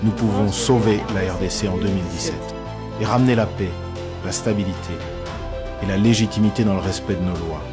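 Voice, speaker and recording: Very deep congolese guy doing a campaign voiceover, solemn, music underneath. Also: fairly even intonation, brisk. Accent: Congolese French. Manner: solemn, rallying. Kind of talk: campaign voice-over. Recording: music bed behind.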